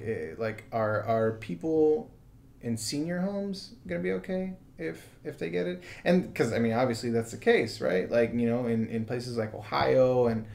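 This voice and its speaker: American man, rambling and halting podcast talk. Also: mid-range voice. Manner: rambling and halting, heavy use of "like" and "you know". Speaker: podcast host. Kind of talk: casual conversational commentary on current news.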